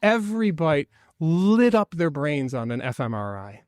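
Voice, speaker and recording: A man's voice: fairly high pitch, big pitch swings, a little slow, a little mellow, no echo. Wry, dry-sounding male minister. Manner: conversational, wry humor. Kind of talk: sermon. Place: church sanctuary.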